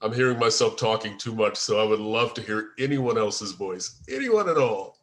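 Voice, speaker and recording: Halting American man, low-pitched. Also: expressive, a bit bright. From United States. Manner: halting, hesitant, searching for words. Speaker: screenwriter and first-time filmmaker. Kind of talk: informal discussion after a table read. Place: online group video call.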